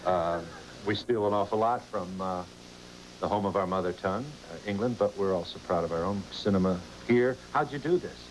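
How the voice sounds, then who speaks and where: A man in his fifties hosting a talk show in a studio with an audience, asking eager, halting questions into a handheld mic. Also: sits low, slight background noise, poor audio. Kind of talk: casual chat.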